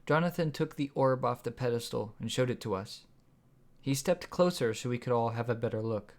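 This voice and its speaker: A man's voice: mid-range voice, brisk. A man reading his own story as scripted audiobook narration.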